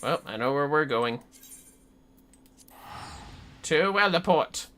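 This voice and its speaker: Man, casual livestream chat, musing aloud, very animated pitch, bright tone, faint music behind. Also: slow. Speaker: livestreamer playing a video game.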